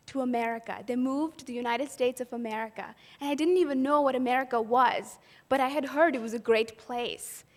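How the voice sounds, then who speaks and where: An earnest woman from Pakistan tells her own story to an audience at an event and poses rhetorical questions along the way. Her voice sits somewhat high. A long reverb from the hall trails after her words. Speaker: event speaker. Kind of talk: personal storytelling talk.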